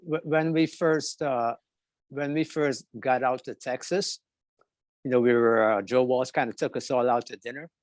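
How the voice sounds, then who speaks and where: A man's voice: mid-range voice, normal speed, no room sound. A man with an accent mixing Guyana and New York, chatting as a guest on a video call in a laid-back way. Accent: Guyanese mixed with New York. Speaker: guest musician. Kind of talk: casual chat.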